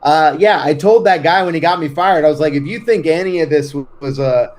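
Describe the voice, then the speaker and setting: A man's voice: fairly high pitch, a little fast, a little mellow. A man talking as an interview guest in a blunt, brash, profane way. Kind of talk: casual conversational interview.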